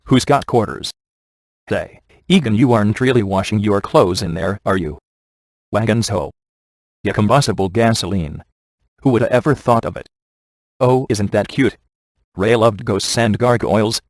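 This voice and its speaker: A man playing a wisecracking, sardonic ghost hunter in a video game, with a deadpan, offhand delivery. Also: on the low side, moderate pace, long pauses, no echo, natural voice. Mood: dry. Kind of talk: video game voice acting, comic one-liners.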